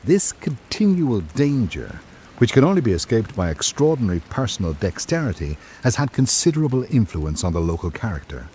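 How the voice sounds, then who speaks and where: A man playing a reflective visiting writer, reading his island journal in measured, literary radio drama narration. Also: lowish voice, a little mellow, slight background noise.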